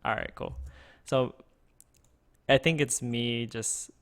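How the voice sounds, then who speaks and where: A man in the middle pitch range with a smooth, clear voice speaks close into a desk microphone. He streams a card game and thinks aloud, halting often with fillers and restarts. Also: fairly even intonation. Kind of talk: casual gameplay streaming.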